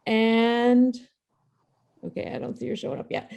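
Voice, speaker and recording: A woman's voice: a little high, unhurried, creaky, a little mellow, clean tone. Jokey, self-deprecating woman hosting online. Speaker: playwright and host of an online table read. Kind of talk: informal spoken introduction to a show. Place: video call.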